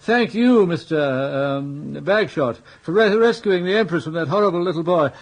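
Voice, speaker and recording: An actor playing a vague, timid elderly earl in a radio comedy, hesitant and bumbling, with an upper-class English accent. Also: male voice, on the high side, animated, moderate pace. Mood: vague, polite. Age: older. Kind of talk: British radio comedy drama.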